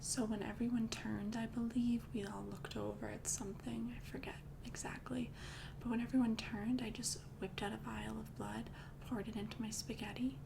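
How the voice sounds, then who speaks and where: A young woman voicing a calm female vampire girlfriend in an ASMR roleplay, talking in a soft, chatty, reassuring whisper. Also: a little high, normal speed, vocal fry, noisy background. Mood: gentle whisper. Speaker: ASMR creator.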